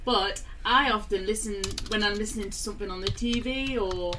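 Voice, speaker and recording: A woman's voice: mid-range voice, brisk, distant mic, noisy background. A British woman co-hosting a radio show, teasing and chatting casually. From Britain. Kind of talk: casual banter.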